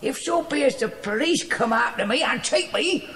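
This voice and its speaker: An actor playing a simple, spiteful old English servant in an audio drama, muttering and chuckling in rustic, ungrammatical speech. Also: male voice, very high voice, animated, moderate pace, fairly bright tone, exaggerated voice. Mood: gloating. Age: older. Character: simple, spiteful, cackling old cockney dog-keeper man. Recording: echoey reverberant space with faint noise.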